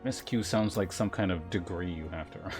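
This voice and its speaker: A man's voice: sits low, brisk. A man livestreaming a game, chatting informally as he thinks aloud and reads game text. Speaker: game streamer. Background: game music.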